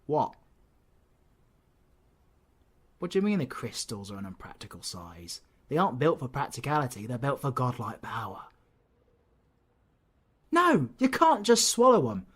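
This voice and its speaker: A British man playing a cocky, menacing, flirtatious supervillain in an audio roleplay, husky and sardonic, often dropping to breathy whispers. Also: mid-range voice, huge pitch swings, moderate pace, fairly bright tone, heavily acted. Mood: exasperated, scheming. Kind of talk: scripted audio roleplay, ASMR drama.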